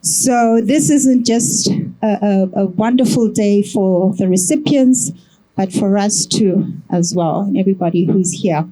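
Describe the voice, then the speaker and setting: A woman with a soft voice, an executive director giving a welcome address in a hesitant, unhurried way. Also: mid-range voice, low-quality recording. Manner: hesitant, unhurried speech with fillers.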